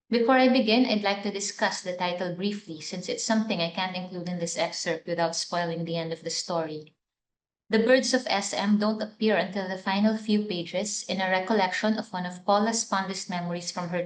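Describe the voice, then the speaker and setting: A woman writer, reading her own prepared literary text aloud. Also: medium pitch. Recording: echoey room sound.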